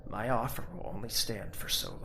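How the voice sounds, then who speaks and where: An actor playing a grieving, vengeful young royal in a fantasy audio drama, moving between hushed menace and raging. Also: male voice, lowish voice, normal speed, gravelly. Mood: cold, controlled threat. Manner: theatrical, swings between hushed menace and screaming. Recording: roomy echo with faint noise.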